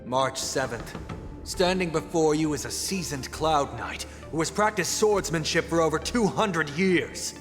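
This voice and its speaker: A man's voice: fairly high pitch, unhurried, a bit bright. A man voicing a proud veteran swordsman knight in a game cutscene, speaking formally and sternly. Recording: reverberant mix with game music.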